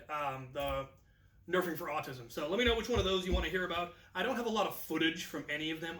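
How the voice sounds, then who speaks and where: An American man in his thirties telling a casual anecdote as a video host, in an animated manner. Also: sits high, slight background noise. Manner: animated, acts out quoted lines. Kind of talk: casual anecdotal storytelling to camera. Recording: echoey room, distant microphone.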